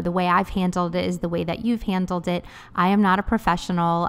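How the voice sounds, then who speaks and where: A woman's voice: lowish voice, smooth. A woman in her thirties giving an earnest, encouraging voice-over for her cleaning vlog. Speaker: cleaning vlogger.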